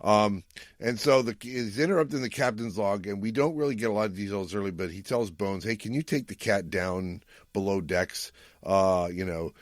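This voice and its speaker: A man's voice: fairly low pitch, moderate pace, no room sound, clean studio sound. A middle-aged man co-hosting a podcast, chatting casually as he recaps an episode. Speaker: podcast co-host.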